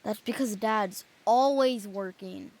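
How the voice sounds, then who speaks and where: A woman's voice: mid-range voice, takes it slowly, darkish tone. A woman playing a young boy in an audio drama, a sulky but eager son with a childlike, plaintive delivery.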